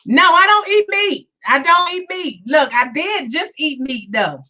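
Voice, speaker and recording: A woman's voice: sits high, lively intonation, measured pace, pushed and bright. A woman hosting her own podcast live, chatting casually and repeating herself, her voice still weak from being sick, greeting listeners by name. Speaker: podcast host. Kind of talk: casual live-stream chat. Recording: dull lo-fi sound, a bit far.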